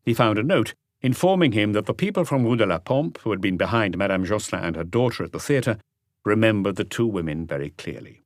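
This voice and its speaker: A man reading a crime novel as an audiobook, in measured, articulate scripted narration. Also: on the low side, lively intonation, no echo, studio quality.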